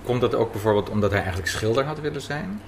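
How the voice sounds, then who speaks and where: A man's voice: mid-range voice, lively intonation, fast talker. A man interviewing someone conversationally in a café, musing as he asks his questions. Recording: faint café background noise.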